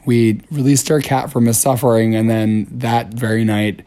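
Hoarse man hosting a podcast. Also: mid-range voice, little pitch movement, normal speed, mellow. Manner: candid, chatty, self-deprecating. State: hoarse, lost voice. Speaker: podcast host. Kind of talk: casual solo podcast talk.

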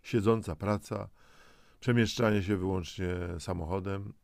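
Low, creaky-voiced man coaching. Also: steady tone, unhurried, dry recording. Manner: coaxing, instructive, with rhetorical questions. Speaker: host of a health and lifestyle audio programme. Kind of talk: semi-scripted motivational narration addressed to the listener.

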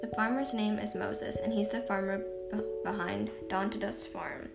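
A woman's voice: mid-range voice, fairly even intonation, normal speed, vocal fry, over loud music. A woman hosting a podcast in a casual, conversational interviewing style. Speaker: podcast host.

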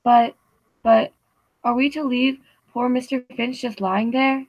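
A woman's voice: on the high side, little pitch movement, a little slow, a little mellow, dry recording. A woman playing a deferential, anxious household butler in a 1940s radio drama, formal and hesitant. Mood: worried, deferential. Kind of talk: 1940s old-time radio acting. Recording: slightly dull, dry recording.

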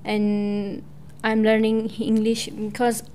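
A young woman from Pakistan whose first language is Persian, speaking halting, hesitant English with many fillers as a student talking on community radio. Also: on the high side, monotone, dark tone, smooth. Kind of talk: prepared personal talk.

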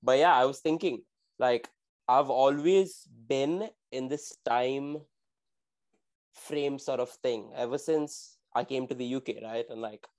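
A man's voice: mid-range voice, unhurried, a little mellow. Casual male podcast co-host in his twenties, rambling and slangy. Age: 20s. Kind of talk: casual chat.

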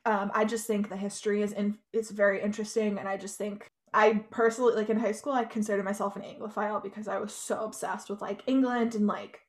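A woman's voice: mid-range voice, flat delivery, fast talker, hardly any pauses. A young adult woman co-hosting a podcast, talking casually with plenty of "like" and "you know". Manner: chatty, lots of "like" and "you know". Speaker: podcast co-host. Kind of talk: casual chat.

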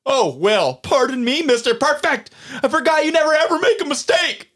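A man's voice: medium pitch, big pitch swings, normal speed, natural voice. A man playing a scrappy, resourceful friend in a comic sketch, sarcastic and blunt. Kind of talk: scripted comic sketch dialogue.

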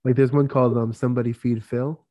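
A man's voice: mid-range voice, flat delivery, fast talker, dark tone. A man co-hosting a podcast, talking casually in a rambling way, laughing often and filling with "like". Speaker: podcast co-host. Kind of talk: casual chat.

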